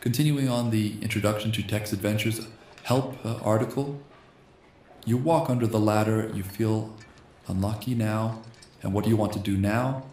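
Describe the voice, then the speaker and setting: A slightly hoarse man hosting a gaming channel, reading a text adventure game aloud in a soft ASMR style and speaking the punctuation marks. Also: on the low side, steady tone, normal speed, roomy sound, slight background noise. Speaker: host.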